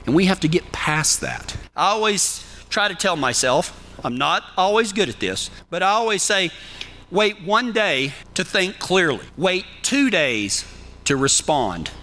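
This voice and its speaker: Folksy Texan cowboy preacher, bright voice. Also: male voice, high-pitched. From Texas. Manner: folksy, warm-humored, calls listeners "man". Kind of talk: sermon with numbered tips.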